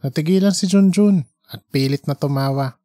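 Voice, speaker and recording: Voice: medium pitch, normal speed, warm tone, no room sound, clean studio sound. A narrator reading a scripted comic story.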